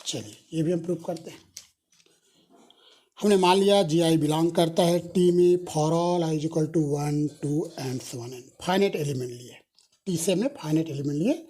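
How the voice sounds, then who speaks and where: A woman giving a recorded mathematics lecture, working through a proof step by step. Her voice sits low and mellow with a husky edge. She spells out each step slowly, repeats herself for note-taking and quickly fixes her own slips. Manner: slow, repetitive dictation of proof steps; self-correcting, apologetic on slips. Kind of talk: recorded university lecture, mixing Hindi and English.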